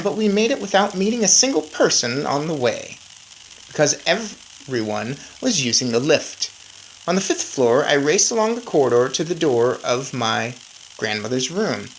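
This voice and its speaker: Female story reader, low register, very animated delivery, some background noise. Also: rough recording. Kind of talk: expressive children's audiobook-style read-aloud.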